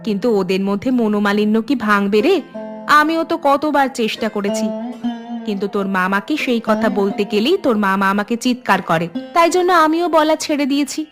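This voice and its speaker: A woman voices a caring, careful married aunt in a cartoon story. The voice is fairly high and gentle, with clear plucked-string music playing behind it. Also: lively intonation, fairly quick, lightly acted. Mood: worried. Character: gentle, cautious village aunt, a married homemaker. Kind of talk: Bengali cartoon voice acting.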